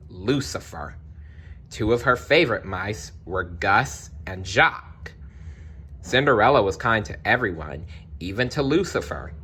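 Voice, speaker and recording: A man narrating a children's storybook aloud in an expressive read-along style. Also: lowish voice, breathy edge. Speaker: storybook reader.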